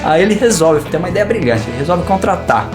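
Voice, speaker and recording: A man co-hosting a casual film talk show, speaking in a relaxed, meandering way with many fillers. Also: sits high, fast. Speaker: podcast co-host. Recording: roomy echo, faint guitar music.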